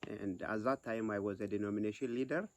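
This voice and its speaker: A man's voice: lowish voice, brisk, a little mellow, no echo. A man from Ethiopia, a ministry director, giving an earnest interview testimony.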